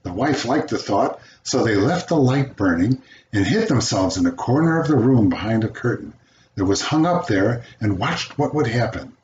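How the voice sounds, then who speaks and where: An older man reading a children's fairy tale aloud as a storyteller, with an expressive delivery. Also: medium pitch, moderate pace. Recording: roomy, dull home recording, faint noise.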